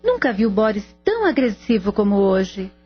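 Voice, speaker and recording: A woman's voice: mid-range voice, sing-song, normal speed, breathy edge. An actress playing a worried older mother in a radio drama. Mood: worried, tearful. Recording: dull lo-fi radio drama with music.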